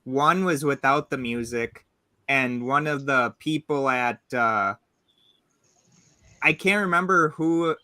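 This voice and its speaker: A man in his twenties hosts a casual podcast chat and tells his stories with animation. His voice is bright and forceful. The recording is close and dry, with no room sound. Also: mid-range voice, takes it slowly, long pauses, dry recording. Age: 20s. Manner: animated storyteller sharing trivia. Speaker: host.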